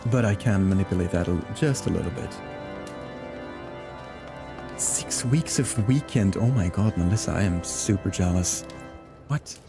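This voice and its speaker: A man's voice: deep voice, lively intonation, unhurried, pauses often. Friendly male streamer chatting casually with viewers, game music underneath. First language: Flemish. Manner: chatty and friendly, greets chat by name, laughs often. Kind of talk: casual live-stream chat.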